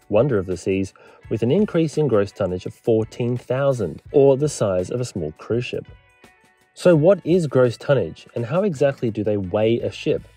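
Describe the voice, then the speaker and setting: A man narrates a scripted explainer in a measured, lightly wry way, like a historian giving a lecture. His voice is warm and mellow, with a slightly husky edge. Soft music plays underneath. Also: medium pitch.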